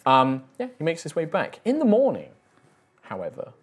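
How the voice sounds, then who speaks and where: A man acting as dungeon master, narrating scenes in a low, hushed voice and reading out donation messages at a studio table. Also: wildly swooping pitch, normal speed, slightly rough.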